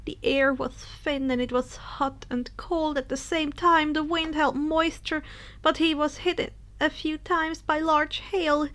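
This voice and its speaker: A woman narrating a story in a dramatic, expressive storybook style, with some phrases breathy and hushed. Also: high voice, unhurried. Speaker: fan fiction narrator.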